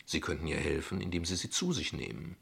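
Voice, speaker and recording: An actor playing a guarded, ironic father in a radio play, speaking in measured, eloquent, formal sentences. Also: very deep voice, animated, creaky, fairly bright tone, breathy edge, exaggerated voice. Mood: explaining, measured. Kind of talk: radio play dialogue acting.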